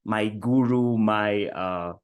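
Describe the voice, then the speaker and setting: A man's voice: lowish voice, takes it slowly, smooth, narrow, tinny audio. A man hosting a marketing interview show, upbeat, hyping up his guest and often stumbling over his words. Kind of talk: casual interview chat.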